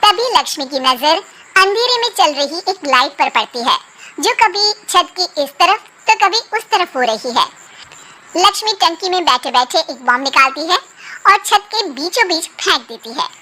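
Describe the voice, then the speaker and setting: A woman's voice: very high voice, very animated, moderate pace, sharp tone. A woman narrating a scripted comic cartoon story as its storyteller.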